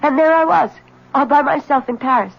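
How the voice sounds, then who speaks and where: An actress playing a troubled, enigmatic wife, grave, plaintive and evasive, in a 1970s radio drama. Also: female voice, high voice, a little fast, creaky. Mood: anxious, evasive. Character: troubled, enigmatic wife giving ominous warnings. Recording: muffled old radio broadcast recording.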